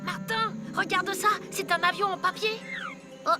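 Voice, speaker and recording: High-voiced excitable boy sidekick. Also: moderate pace. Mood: amazed. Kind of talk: French cartoon voice acting. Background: music.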